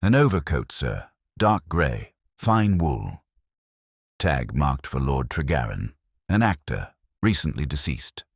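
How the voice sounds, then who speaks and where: A man's voice: rumbling low, sing-song, slow, vocal fry, slightly rough, no echo, clean studio sound, heavily acted. A man voicing a nervous, bewildered costumier's clerk in an audiobook mystery, formal, hesitant and deferential. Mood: anxious, deferential.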